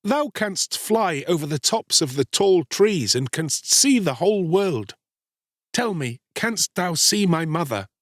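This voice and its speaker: Man voicing a high-pitched, proud storybook boy. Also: sing-song, measured pace, no room sound, clean studio sound, full character voice. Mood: remorseful, earnest. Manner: archaic, formal storybook speech. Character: proud, scornful young boy, cruel then remorseful.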